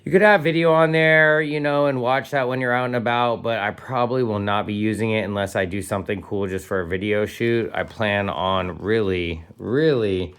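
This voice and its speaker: A man's voice: lowish voice, steady tone, moderate pace, few pauses, fairly bright tone, clean tone. A man giving a casual hands-on gadget review as host, speaking enthusiastically in informal slang with punchy interjections.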